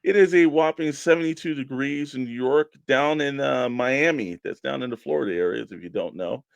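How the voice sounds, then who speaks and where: A man's voice: mid-range voice, normal speed, gravelly, no echo. Casual American man co-hosting, close dry sound. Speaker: co-host of a toy collecting show. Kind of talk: casual banter, sponsor reads and mock weather report.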